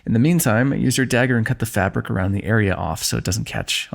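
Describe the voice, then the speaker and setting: A man playing a low, gravelly, lively-voiced young king. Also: quick pace. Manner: gentle, chiding, teasing, close and intimate. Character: male ruler of a kingdom, tending a wounded knight. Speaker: ASMR roleplay performer. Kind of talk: scripted fantasy ASMR roleplay.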